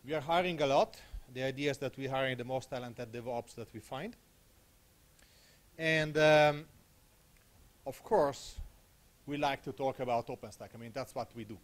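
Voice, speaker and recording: A man's voice: medium pitch, normal speed, pauses often. A man in his thirties with an Italian accent, presenting at a conference on a handheld mic in a small room, casual and conversational, often checking in with "okay?". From Italy. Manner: conversational, tags points with "okay?". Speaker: conference speaker. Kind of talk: technical conference talk. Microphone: handheld microphone.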